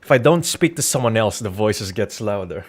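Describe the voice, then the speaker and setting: Low-voiced man chatting casually on stream. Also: animated, moderate pace, slightly rough. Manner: rambling, informal, swearing; joking, irreverent. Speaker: game streamer. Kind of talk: casual livestream chat. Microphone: Rode NT1-A studio condenser microphone. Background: background music.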